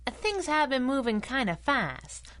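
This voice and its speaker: A wisecracking young gal from a 1930s radio serial. Her voice is fairly high, very bright and lively in pitch, with sassy, breezy slang. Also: unhurried, heavily acted. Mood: brisk, playful. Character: plucky, wisecracking young woman sidekick of 1930s. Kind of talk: 1930s-set radio drama acting.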